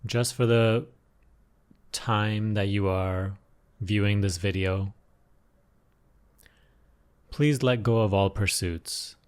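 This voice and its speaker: A man leading an unscripted guided meditation as a teacher, giving gentle, unhurried instructions with pauses and repeated phrases. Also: on the low side, steady tone, clean tone.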